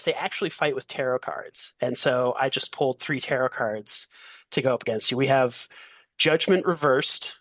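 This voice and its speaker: A man with a medium-pitched voice acts as game master in a tabletop roleplaying game. He narrates in a halting way, working things out aloud. The sound is very dry, with no room around it. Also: no room sound.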